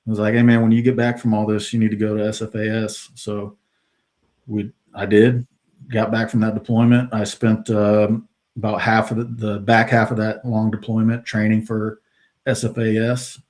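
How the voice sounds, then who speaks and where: A middle-aged man from the United States, a retired soldier, telling his career story as a podcast guest in a relaxed way with many fillers. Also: lowish voice, normal speed. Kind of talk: casual interview talk.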